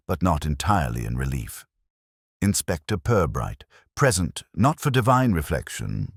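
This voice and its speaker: A man reading a scripted mystery story as narrator in a wry, measured, literary manner. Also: extremely low pitch, dry recording, studio quality.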